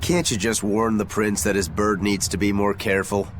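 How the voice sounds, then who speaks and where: A man's voice: lowish voice, flat delivery, moderate pace, noisy background, natural voice. A man playing a cocky, easygoing young swordsman with a playful, persuasive manner in a video game. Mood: disbelieving.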